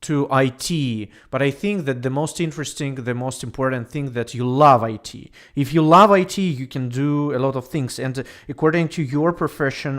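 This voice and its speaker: Smooth-voiced man with a Russian accent. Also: mid-range voice. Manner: encouraging, positive. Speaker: host of an English speaking club. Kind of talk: casual online conversation.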